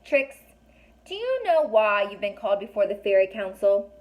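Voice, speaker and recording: A woman voicing a gracious but authoritative fairy leader in a storybook, calm and commanding. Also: medium pitch, moderate pace, highs cut off, natural voice. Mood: stern.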